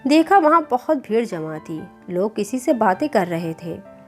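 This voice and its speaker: A woman's voice: medium pitch, sing-song, a little slow. A woman reading a scripted moral story as a storyteller. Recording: clear background music bed. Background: music.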